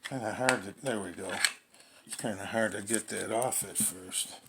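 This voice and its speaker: A man giving casual, unscripted unboxing commentary as a gadget reviewer, halting and thinking aloud as he goes. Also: lowish voice, vocal fry, heavy background noise.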